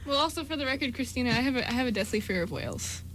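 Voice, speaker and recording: Very high, bright, quick-talking woman. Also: lively intonation, a little hiss or hum, rough recording. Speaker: member of an amateur radio drama show. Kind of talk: casual group chat.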